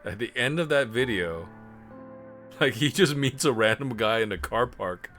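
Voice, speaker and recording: A man streaming a video game live, chatting in a rambling way and clearing his throat often. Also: medium pitch, expressive, moderate pace, fairly bright tone. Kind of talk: live let's play commentary. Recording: game music clearly audible underneath. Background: game music.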